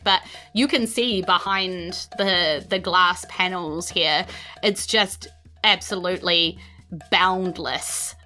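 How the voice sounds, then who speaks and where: A woman's voice: fairly low pitch, sharp tone. A woman with a New Zealand accent narrating a tabletop fantasy game as game master, descriptive and conversational.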